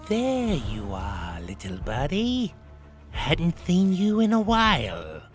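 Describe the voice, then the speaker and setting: Man acting a slow, swooping, sly snake. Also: on the high side, wildly swooping pitch, exaggerated voice. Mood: coaxing, sly. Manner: slow, coaxing, falsely friendly. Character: hungry, sly, menacing snake stalking a mouse. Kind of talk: audio drama acting. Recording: loud music under the voice.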